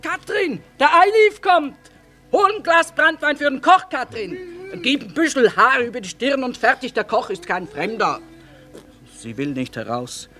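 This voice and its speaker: A woman's voice: sits high, very animated, a little slow, soft background music, heavily acted. A woman playing a shrewd, blunt middle-aged trader and mother in a radio drama, with plain folksy, businesslike speech. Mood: excited, bustling. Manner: sharp-tongued, businesslike, plain folksy phrasing. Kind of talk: theatrical radio drama acting.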